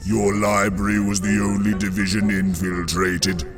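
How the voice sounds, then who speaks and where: A man playing a dry, put-upon watchman god in a motion comic, formal, deadpan and quietly disdainful. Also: fairly low pitch, unhurried, over music, lightly acted. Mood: dry, reproachful. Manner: formal, deadpan, dryly insolent.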